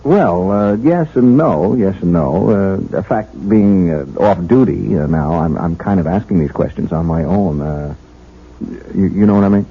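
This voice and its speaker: An earnest young patrolman in an old radio drama, folksy and halting. His husky voice moves around a lot in pitch, and the broadcast is thin and phone-like. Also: lively intonation, full character voice. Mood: earnest questioning. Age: young adult. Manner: hesitant, stammering, folksy. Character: earnest, bashful young patrolman sweet on a troubled woman. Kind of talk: 1970s radio drama acting.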